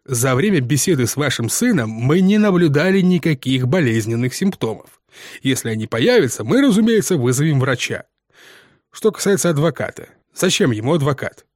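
A self-important senior police inspector played by a male reader in an audiobook. He is formal and insistent, with widely swinging pitch in a dry, close recording. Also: very animated, normal speed, a little mellow, dry recording. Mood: officious, insistent. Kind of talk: dramatised audiobook dialogue.

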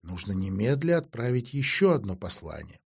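A man voicing a bold, sultry sorceress who talks quietly but firmly in a fantasy audiobook. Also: lowish voice, very animated, moderate pace, gravelly, breathy edge, pitched below the performer's own voice, full character voice. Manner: quiet, firm.

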